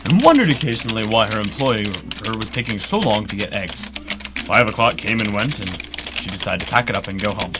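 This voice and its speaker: A fairly low-pitched announcer acted by a man narrates theatrically over clear background music. The delivery is that of a mock old-time radio serial, presentational and dramatic. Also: lively intonation, brisk, lightly acted.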